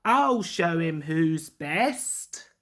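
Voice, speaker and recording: A woman's voice: sits low, lively intonation, drawn-out words, a bit bright, exaggerated voice. Woman voicing a pompous, boastful steam engine. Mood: smug, boastful. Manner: pompous, indignant. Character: boastful, grand, easily angered male steam engine. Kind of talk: children's storybook character voice.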